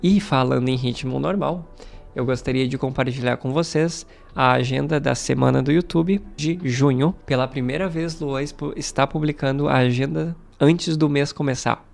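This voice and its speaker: Brazilian man, creaky casual YouTube teacher. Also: mid-range voice, normal speed, a little mellow, soft background music. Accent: Brazilian Portuguese. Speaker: Portuguese teacher and YouTube channel host. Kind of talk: casual talk to camera, channel update.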